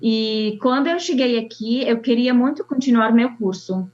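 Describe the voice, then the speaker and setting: A young woman from Syria with an Arabic accent, an architect telling her story slowly and haltingly, with many fillers. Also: a little high, darkish tone. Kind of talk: personal testimony. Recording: dull lo-fi sound.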